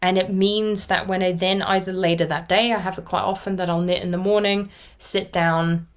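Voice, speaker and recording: A woman's voice: mid-range voice, fairly even intonation, moderate pace. A woman around thirty, originally from Austria with German as her first language, chatting casually and at length as a knitting podcast host. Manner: rambly, chatty, self-reflective. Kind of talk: casual vlog chat.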